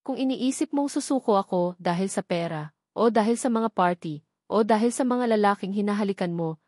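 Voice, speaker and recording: A woman voicing a weary husband with a quiet, controlled, cold manner in an audio drama. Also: medium pitch, fairly quick, no echo, studio quality, natural voice. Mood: cold, warning. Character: calm, weary, steely husband.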